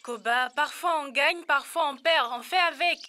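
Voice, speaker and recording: A woman's voice: high-pitched, normal speed, sharp tone. A woman voicing a caring, sensible young teammate in a children's cartoon dub. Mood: consoling. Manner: reasoning, consoling. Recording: faint birdsong and outdoor ambience.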